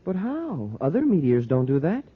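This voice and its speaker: An actor playing a curious, eager young space cadet in a 1950s radio drama. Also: male voice, a little high, wildly swooping pitch, moderate pace, slightly rough, heavily acted. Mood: puzzled. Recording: tinny, noisy, distant vintage radio.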